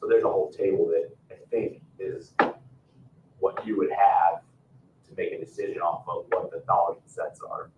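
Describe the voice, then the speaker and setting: A man's voice: deep voice, fairly even intonation, normal speed, a little mellow. A man explaining procedure as an official at a public meeting, informally and with worked examples. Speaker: town official advising a commission. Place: meeting room, picked up from a distance. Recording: roomy, distant, muffled meeting recording with hiss.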